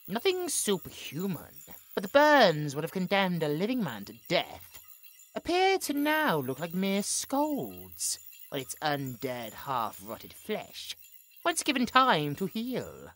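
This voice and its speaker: A woman's voice: mid-range voice, a bit bright, full character voice. A woman playing a cold, imperious scientist in a horror audio drama, speaking in a clinical, theatrical way. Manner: theatrical, clinical log narration with dramatic emphasis.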